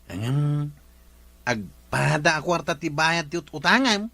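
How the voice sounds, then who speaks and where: Man acting protesting village seller, forceful bright tone, dry close sound, comedy drama. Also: fairly high pitch, lively intonation, measured pace, creaky, no room sound, heavily acted. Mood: reluctant, annoyed.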